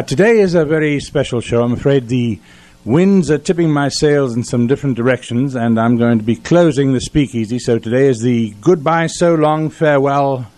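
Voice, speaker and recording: A man's voice: mid-range voice, a little mellow, dry recording. Folksy man hosting a radio show. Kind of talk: casual radio chat. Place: community radio studio. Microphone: studio radio microphone.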